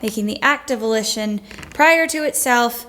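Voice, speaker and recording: A woman's voice: on the high side, normal speed, sharp tone, a little hiss or hum. A woman playing a bookish, outspoken fifteen-year-old girl in a period audio play, frank and literate. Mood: dutiful reading.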